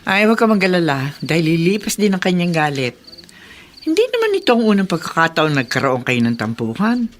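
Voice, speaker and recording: A woman's voice: fairly low pitch, big pitch swings, a little fast. A woman playing a caring, practical middle-aged aunt in a Filipino radio drama, motherly and coaxing. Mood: reassuring. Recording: noisy background with chirping insects.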